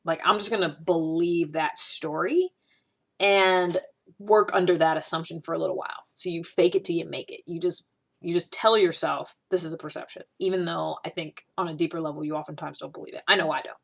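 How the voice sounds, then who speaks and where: A woman talking casually to a camera, animated and chatty, with many fillers and restarts. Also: lowish voice, brisk, vocal fry. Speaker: video host. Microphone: camera, solo recording.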